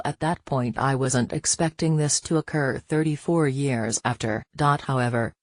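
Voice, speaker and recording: A computer-like voice reads a news interview word for word at an even, unhurried pace, saying aloud who is asking and who is answering. The pitch sits in a middle range. The recording is close and dry, with no room sound around it. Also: no room sound. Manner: even, unhurried read-out, speaking the interviewer and guest labels aloud. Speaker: news reader.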